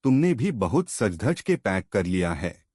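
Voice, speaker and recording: A man's voice: lowish voice, big pitch swings, a little slow, darkish tone, slightly rough, dry recording, clean studio sound, full character voice. A man voicing a cheerful, teasing older mother-in-law in a storybook tale, bantering playfully.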